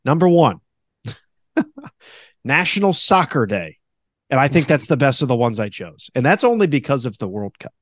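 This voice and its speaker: A man with a deep voice hosting a casual podcast, animated and quick to mock radio announcers in a high voice. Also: no room sound, clean studio sound. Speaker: podcast host; former radio host.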